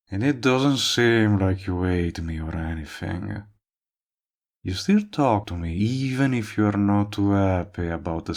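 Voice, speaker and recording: Man playing a deep, gravelly, smug villain. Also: a little mellow, full character voice. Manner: teasing, smug, coaxing. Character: cocky, lovestruck male villain wooing a longtime friend. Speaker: voice actor. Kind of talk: intimate audio roleplay acting addressed to the listener.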